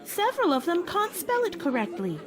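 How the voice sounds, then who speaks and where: A woman playing a curious, blunt, impatient young girl in a radio play, forthright and expressive. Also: very high voice, fairly quick, loud music behind the voice, full character voice. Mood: curious, scornful.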